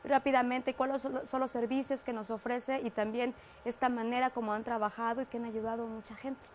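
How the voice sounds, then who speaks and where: A woman radio host with a Mexican accent, briskly reading listener calls and interjecting during a call-in interview. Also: on the high side, flat delivery, a little hiss or hum.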